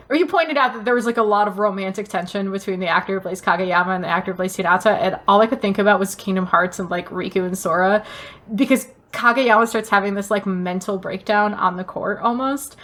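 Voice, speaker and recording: A woman's voice: mid-range voice, fairly bright tone. A woman co-hosting a podcast in casual chat, talking in an emphatic, gushing way and swearing casually. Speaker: podcast co-host. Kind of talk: casual chat, fan review.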